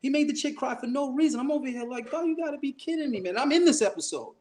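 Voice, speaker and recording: Animated high-voiced man, cursing freely. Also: quick pace, background noise. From Jamaica. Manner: animated, profane, exasperated storytelling. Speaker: podcast guest, former panelist. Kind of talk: casual podcast talk.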